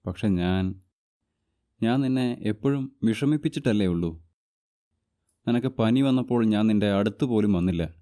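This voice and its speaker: A man voicing a harsh, short-tempered stepfather in an animated moral story. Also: lowish voice, close to an everyday voice. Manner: gruff, scolding, later softly remorseful.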